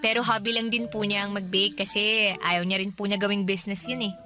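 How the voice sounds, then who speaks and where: A woman's voice: medium pitch, little pitch movement, a little fast, pushed and bright, soft background music, lightly acted. A young woman with a Filipino accent playing a sweet, polite student girlfriend in a radio drama. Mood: polite, chatty.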